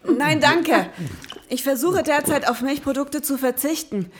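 Young investigator, slightly high voice, pedantic, precise, formal, audio drama. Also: female voice, measured pace, a little hiss or hum. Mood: pedantic, firm. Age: young adult. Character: prim, pedantic, matter-of-fact young female investigator. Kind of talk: scripted audio drama acting and narration.

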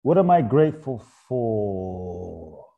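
A middle-aged man from Ghana, a guest author speaking in a thoughtful, halting way about spiritual ideas on a podcast. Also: lowish voice, very animated, gravelly, phone-quality sound. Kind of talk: reflective podcast conversation.

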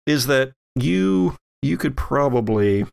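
Guy game master, creaky gravelly voice, medium pitch, explains the scene off the cuff. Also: lively intonation, slow pace. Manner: conversational, explanatory. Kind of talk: improvised narration of a tabletop game.

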